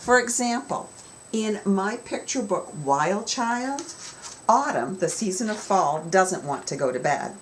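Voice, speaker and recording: A woman's voice: medium pitch, lively intonation, measured pace, low-quality recording. A woman children's author giving an instructional talk to young students, explaining with emphasis in a storytelling way. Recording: clear background noise.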